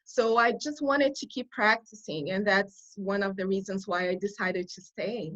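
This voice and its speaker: A woman's voice: mid-range voice, normal speed, fairly bright tone. Earnest Brazilian woman with a dull lo-fi sound. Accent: Brazilian Portuguese. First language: Portuguese. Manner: earnest, restarts phrases while thinking. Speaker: guest. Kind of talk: conversational interview.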